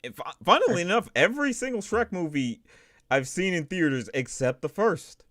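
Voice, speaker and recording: Male host, relaxed, casual, dry mic. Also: high-pitched, normal speed, dry recording. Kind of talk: casual chat.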